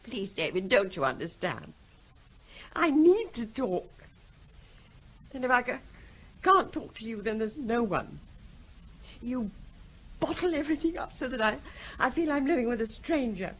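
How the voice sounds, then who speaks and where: An anxious, pleading middle-aged wife in an old radio drama. Her fairly high voice rises and falls with feeling. She sounds strained and close to breaking. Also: big pitch swings, measured pace, pauses often, a bit bright, breathy edge, heavily acted. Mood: distressed. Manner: emotional, pleading. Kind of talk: 1970s radio drama acting.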